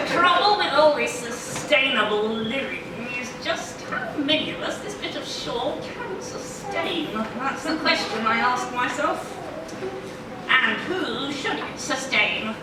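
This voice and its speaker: A woman's voice: high-pitched, lively intonation, slow pace. A woman voicing a hungry, self-righteous witch in a live puppet show, drawling theatrically as she schemes and coaxes the audience. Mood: smug, scheming. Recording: echoey noisy hall, recorded from far off.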